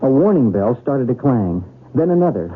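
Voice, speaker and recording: An actor playing a polite, earnest young graduate student in a radio drama, deferential and precise, with a husky voice. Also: male voice, mid-range voice, very animated, moderate pace. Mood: earnest, reading aloud. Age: young adult. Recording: tinny, narrowband old radio broadcast.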